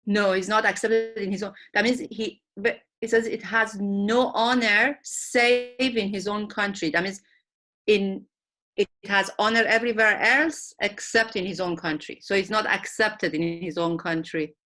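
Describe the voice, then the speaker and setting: Bright-voiced woman. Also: mid-range voice, steady tone, measured pace. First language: Persian. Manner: earnest, explaining her view. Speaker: study group participant. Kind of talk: group discussion on a video call.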